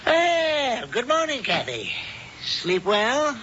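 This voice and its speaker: An actor playing a jovial older blacksmith who is hiding something, hearty and nervously evasive, in a 1970s radio drama. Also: male voice, very high voice, wildly swooping pitch, slow pace, pushed and bright, slight background noise, heavily acted. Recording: dull old radio broadcast.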